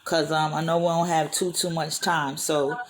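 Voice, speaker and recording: A woman's voice: low voice, little pitch movement, normal speed, slight background noise. A woman hosting a radio interview show, speaking in friendly, casual slang.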